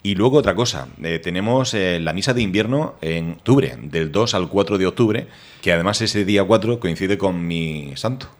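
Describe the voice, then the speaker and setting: A man's voice: low voice, moderate pace. A man hosting a radio interview, chatty and rambling as he talks. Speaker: radio host.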